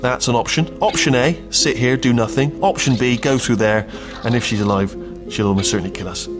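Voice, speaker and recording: A man's voice: medium pitch, brisk, over music, close to an everyday voice. A British man playing a nervous, chatty, bumbling little robot sidekick in a comic video game, rambling and stammering anxiously. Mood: chatty unease. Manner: rambling, stammering, self-correcting, anxious babble.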